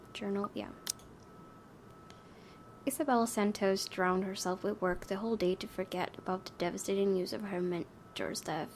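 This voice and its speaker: Creaky-voiced woman narrating a game. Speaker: gaming video host, reading a visual novel aloud. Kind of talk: let's play narration with casual asides. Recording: faint low rumbling background noise.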